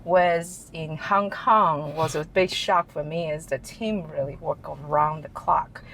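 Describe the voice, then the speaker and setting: Low-voiced woman, hesitant and chatty. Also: fairly even intonation, measured pace, vocal fry, a bit bright, slight background noise. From Taiwan. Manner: hesitant, restarts often, jokes and laughs. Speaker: guest, tech executive. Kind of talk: relaxed interview answers. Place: office in Shanghai.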